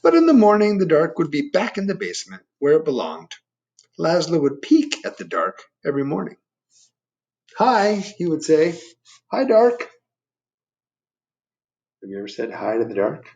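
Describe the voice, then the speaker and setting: Male storybook reader for children, very varied intonation, gravelly edge, conversational and explanatory. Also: on the high side, huge pitch swings, a little fast, a little mellow, muffled recording. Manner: explains and asks the listeners questions. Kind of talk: read-aloud for children with chatty asides.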